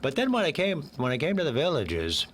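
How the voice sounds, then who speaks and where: An older man with a hoarse voice from a cold, a guest in a radio interview who rambles a little and jokes and laughs at his own quips. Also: on the high side, huge pitch swings, brisk, a bit bright.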